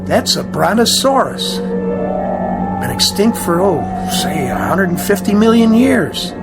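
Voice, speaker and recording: Animated, high-pitched flight engineer. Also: male voice, slow pace, vocal fry, full character voice. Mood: awestruck. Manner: emphatic, wondering. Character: awestruck, earnest male airliner flight engineer. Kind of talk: old-time radio drama acting. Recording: dramatic music underneath.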